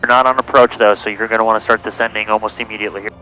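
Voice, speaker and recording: A man's voice: fairly low pitch, steady tone, racing, bright, forceful tone, soft background music, poor audio. A man talking casually as a pilot over a cockpit headset intercom, giving matter-of-fact instruction. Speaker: pilot in the cockpit, coaching the other pilot. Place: inside a small airplane in flight.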